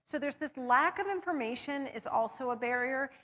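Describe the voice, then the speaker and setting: A woman's voice: high-pitched, expressive, moderate pace, a bit bright, big-room sound. A woman on a discussion panel, talking steadily and carefully, correcting herself as she goes through figures. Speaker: panelist on local voting data.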